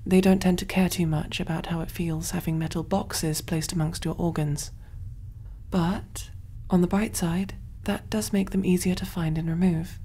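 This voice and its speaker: A woman voicing a kind, clinical alien surgeon in a gentle, reassuring ASMR roleplay, soft-spoken and often whispering. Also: fairly low pitch, fairly even intonation, moderate pace, breathy edge. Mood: soothing, reassuring.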